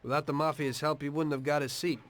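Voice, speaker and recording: A man's voice: fairly high pitch, little pitch movement, quick pace, lightly acted. A man playing a weary, troubled gangster in a crime video game. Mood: weary, reflective. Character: weary, troubled male gangster, former taxi driver. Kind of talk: confessional narration and dramatic game dialogue.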